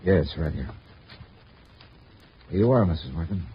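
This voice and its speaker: An actor playing a shrewd, dogged insurance investigator in a 1950s radio noir drama, terse and matter-of-fact. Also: male voice, low voice, expressive, a little slow, slightly rough, heavily acted. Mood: businesslike. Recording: muffled vintage radio recording with music.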